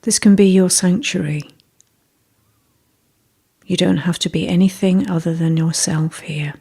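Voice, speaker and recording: Female guide for scripted meditation: soft, husky-breathy tone, slightly low, soothing and unhurried delivery. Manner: gentle, measured, soothing.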